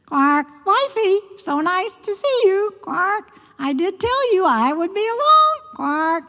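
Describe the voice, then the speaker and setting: A high, shrill storybook frog acted out by a woman, ringing in a long reverb. He is a fussy, coy suitor who croaks "quark" between phrases. His folksy delivery swoops about, wheedling and demanding. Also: sing-song, slow, pushed and bright, heavily acted. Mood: gleeful, doting. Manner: coy, folksy, nagging, repetitive.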